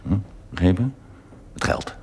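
An actor playing a gruff, greedy, wary prison guard who talks curtly in a radio drama. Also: male voice, a little slow, darkish tone, a little hiss or hum, natural voice. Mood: wary, grasping. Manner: curt, gruff.

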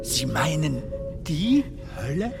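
An actor playing a whimsical film comedian stranded in darkness in a German radio drama, musing and storytelling in a halting way. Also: male voice, a little high, very animated, vocal fry, full character voice. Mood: puzzled, skeptical. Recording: music behind the voice.

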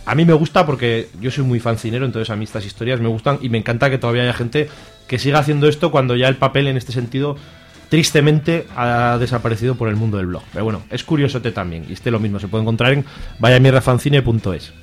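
Spanish guy from spain rattling on super fast on a radio show, chatty, slangy, jokey, medium pitch. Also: soft background music. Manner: colloquial, jokey, talkative. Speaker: radio show contributor reviewing comics and fanzines. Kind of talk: casual radio chat.

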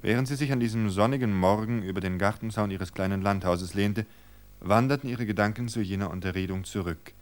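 A man reading a mystery story aloud for radio in a calm, measured way. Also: on the low side. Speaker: reader.

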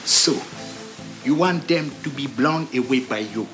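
A man's voice: mid-range voice, measured pace, over music, natural voice. Insistent male audition coach played by an actor. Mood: stern, urgent. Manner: insistent, emphatic. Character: stern male audition coach mixing English and French. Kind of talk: spoken-word performance.

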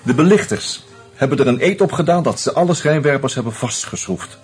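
An actor playing a sociable, teasing screenwriter in a Dutch radio drama, speaking in a conversational, hesitant, confiding way. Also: male voice, medium pitch, lively intonation, brisk, natural voice. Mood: earnest, confiding. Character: sociable, teasing screenwriter sharing his suspicions over drinks.

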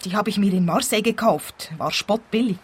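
A woman's voice: mid-range voice, a little fast, breathy edge. A woman playing a lovelorn, wounded dancer in a radio play, speaking in an earnest, emotional way. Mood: confessing, hurt.

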